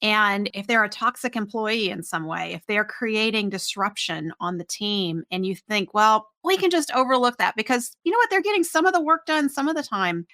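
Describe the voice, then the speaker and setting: A woman interviewing a guest on a podcast. Her voice is bright with a lot of vocal fry, and she asks curious, probing questions. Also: mid-range voice, big pitch swings, moderate pace, few pauses. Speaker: podcast host.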